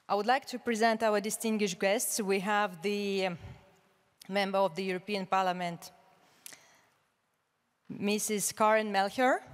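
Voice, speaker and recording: A woman's voice: medium pitch, clean tone. Woman moderator in a big conference hall. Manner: formal, hesitant with frequent fillers, reading from notes. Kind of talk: conference opening remarks. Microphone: handheld microphone. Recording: hall reverb, resonant voice.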